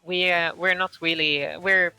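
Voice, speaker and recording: A woman from Sweden, a game master guest on a podcast, chatting casually with many pauses and filler sounds. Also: fairly low pitch, fairly even intonation, pushed and bright, clean tone, soft background music.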